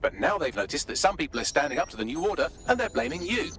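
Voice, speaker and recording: A man with a British accent playing a brisk, dry-witted assistant who briefs operatives in a video game, articulate and matter-of-fact. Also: fairly high pitch, sing-song, music in the background. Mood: brisk, explanatory.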